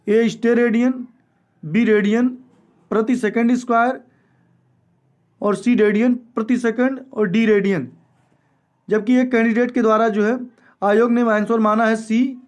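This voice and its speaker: A man teaching an exam coaching lesson, explaining step by step, repeating key statements and mixing in English terms. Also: high-pitched. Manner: mixes English terms into speech. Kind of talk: explanatory lecture going through exam questions.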